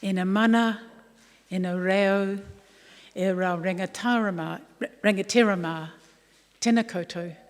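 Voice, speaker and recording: A woman giving a formal prepared speech in a deliberate, earnest way. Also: mid-range voice, steady tone. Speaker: commission chairperson, guest speaker. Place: large indoor hall, public address. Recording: echoey hall, long reverb.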